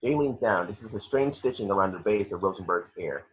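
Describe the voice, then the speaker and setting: A man's voice: low voice, lively intonation, fairly quick, a little hiss or hum. A man reading scripted action descriptions as narrator for a table read, on a muffled home recording.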